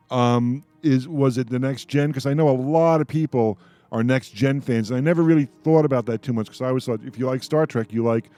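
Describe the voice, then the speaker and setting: A man's voice: mid-range voice, mellow, no room sound. A man in his sixties hosting a radio panel, chatting casually and circling back as he rambles through questions and memories. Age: 60s. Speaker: radio host. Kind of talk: casual chat, moderating a panel.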